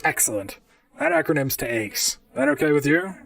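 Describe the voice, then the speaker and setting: A man's voice: a little high, huge pitch swings, normal speed, gravelly, fairly bright tone, no echo, distant mic, exaggerated voice. A man playing an earnest, inquisitive robot private detective in an audio drama. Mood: bemused. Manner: earnest, questioning, narrates his own cases. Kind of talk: audio drama acting and narration.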